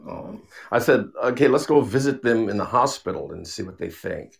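A woman's voice: a bass voice, expressive, normal speed, gravelly. A woman co-hosting a podcast, chatting casually with teasing humor. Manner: teasing, jokey, breaks into singing and silly voices. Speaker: podcast co-host. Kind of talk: playful casual chat.

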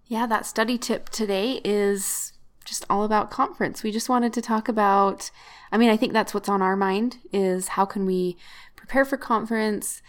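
A woman in her thirties co-hosting a scripture podcast, chatty and upbeat, and quick to laugh. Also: mid-range voice, fairly even intonation. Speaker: podcast co-host. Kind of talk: casual chat.

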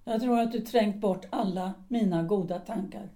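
A woman's voice: mid-range voice, warm tone, close to an everyday voice. A woman playing a bitter, cold wife. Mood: bitter reflective. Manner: cool, dry, cutting. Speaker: Alice. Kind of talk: audio drama acting.

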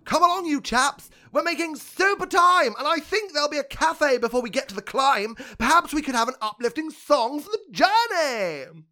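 A woman's voice: high-pitched, sing-song, moderate pace, few pauses, pushed and bright, exaggerated voice. A woman playing a cheerful, overly polite leader of a charity cycling club who talks in a gushing, apologetic way. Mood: bubbly.

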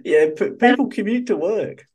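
A man's voice: high-pitched, animated, moderate pace, darkish tone, breathy edge. A man from New Zealand with an Australian-sounding accent, hosting a podcast interview in a chatty, upbeat way from a small cubby. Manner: chatty, upbeat, laughs easily. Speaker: podcast host. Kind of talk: casual interview chat.

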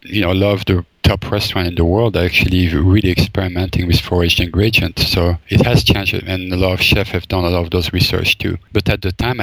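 A man's voice: deep voice, dry recording, poor audio. A man from Belgium, a forager and chef, answering interview questions thoughtfully, with many fillers and restarts. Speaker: forager and wild food chef, guest.

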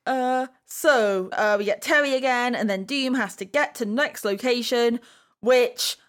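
Higher-voiced woman hosting a podcast. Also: a little slow, clean tone. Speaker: podcast host. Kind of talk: casual podcast discussion.

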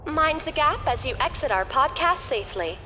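Casting: female announcer reading podcast credits, high-pitched, reverberant sound with a music bed. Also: animated, normal speed, sharp tone. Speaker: podcast outro narrator. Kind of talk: scripted credits narration. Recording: long reverb over music.

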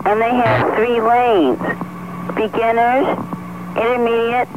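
A man's voice: extremely high pitch, level tone, slow. A man calling in to a 1970s radio show to tell one-liner jokes. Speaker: caller. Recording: noisy tinny phone line on radio.

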